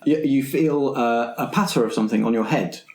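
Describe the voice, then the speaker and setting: A man's voice: mid-range voice, fairly quick, noisy background. A man narrating as game master and presenter of a roleplaying podcast, in a descriptive storytelling style. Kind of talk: scene narration and scripted credits.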